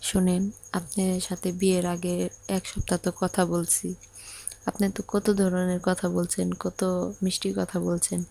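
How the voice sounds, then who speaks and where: A woman's voice: fairly low pitch, monotone, moderate pace, creaky, dark tone. A woman playing a lovesick young bride in an audio story, pleading insistently and plaintively with a Bangladeshi accent. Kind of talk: Bengali audio story acted as a phone conversation.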